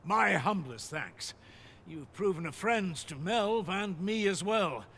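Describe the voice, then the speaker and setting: High-voiced, courteous young fantasy villager. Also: male voice, expressive, takes it slowly, pushed and bright, a little hiss or hum, heavily acted. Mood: relieved, grateful. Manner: courteous, archaic.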